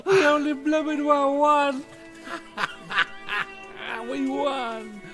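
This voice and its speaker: An actor playing an excited aide with momentous news in an audio drama. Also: male voice, very high-pitched, extremely slow, over loud music, heavily acted. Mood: jubilant. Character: excited aide breaking triumphant election news.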